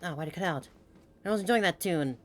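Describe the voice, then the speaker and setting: A woman's voice: lowish voice, lively intonation, fast. A woman game streamer giving casual, affectionate reaction commentary with coaxing asides.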